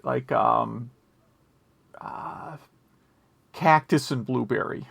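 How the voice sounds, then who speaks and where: Creaky-voiced American man, casual podcast co-host. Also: mid-range voice, very slow, fairly bright tone, no room sound. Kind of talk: casual chat.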